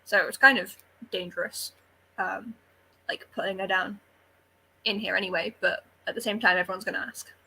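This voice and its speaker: A woman's voice: mid-range voice, fairly even intonation, moderate pace, gravelly, sharp tone, slight background noise, rough recording. A woman chatting casually and animatedly about books as a panellist, opinionated and informal. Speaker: book club readalong panellist. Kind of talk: casual book discussion chat.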